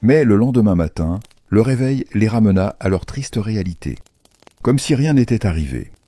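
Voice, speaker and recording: Deep, very animated male storyteller. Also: moderate pace, no room sound. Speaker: narrator. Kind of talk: scripted children's storytelling. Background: faint music.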